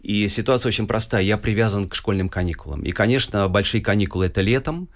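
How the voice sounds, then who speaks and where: A man's voice: on the low side, animated, fast. A middle-aged man from Russia answering interview questions as a guest musician, telling his story fluently with some fillers.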